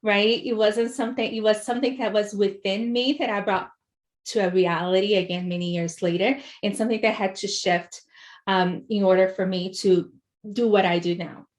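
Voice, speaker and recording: A woman's voice: mid-range voice, steady tone, moderate pace. A woman from Brazil with a Brazilian accent, a business coach telling her personal story in an interview, earnest and reflective with many "right?" and "um" fillers. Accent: Brazilian Portuguese. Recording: roomy echo.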